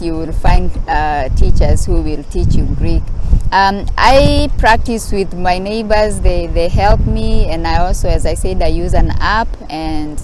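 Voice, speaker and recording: A woman from Kenya, sitting on rocks outdoors and giving casual vlog advice in a hesitant way, pausing often with fillers. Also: on the low side. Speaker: vlogger. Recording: outdoor recording with traffic noise.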